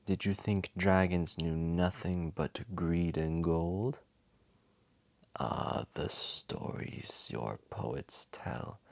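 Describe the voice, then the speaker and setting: A man voicing an ancient, wise and gentle old dragon in a gravelly, breathy voice, telling a bedtime story slowly and soothingly. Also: low voice, no room sound, heavily acted. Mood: amused, scornful. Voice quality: gravelly, breathy, often whispered. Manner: slow, solemn, soothing, deliberate. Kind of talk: slow bedtime storytelling, ASMR.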